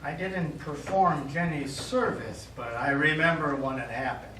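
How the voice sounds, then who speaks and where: Man playing a gentle priest, roomy and distant. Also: fairly high pitch. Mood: consoling, gentle. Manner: measured, sympathetic, formal. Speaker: stage actor. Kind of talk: live stage play dialogue. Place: small indoor theatre studio, recorded from audience distance. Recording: roomy, distant stage recording with background noise.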